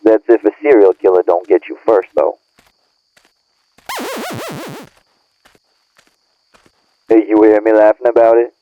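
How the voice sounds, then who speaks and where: A man's voice: lowish voice, fairly even intonation, brisk, smooth. A man acting a bitter, irritated young friend in an audio roleplay, scolding in profane slang through a phone-like filter. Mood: annoyed, scolding. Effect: phone or radio filter. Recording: narrow tinny phone-like band.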